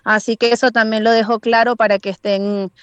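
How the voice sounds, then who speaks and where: A woman's voice: on the high side, little pitch movement, a little fast, no echo, lo-fi sound. A woman with a Venezuelan accent giving earnest, wordy spontaneous answers as a radio interview guest. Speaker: interview guest, donation drive volunteer.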